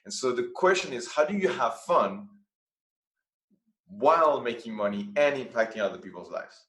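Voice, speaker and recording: A man's voice: mid-range voice, animated, moderate pace, a bit bright. Guest, man, earnest and searching for words, echoey room. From France. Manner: earnest, restarts phrases often. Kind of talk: conversational interview.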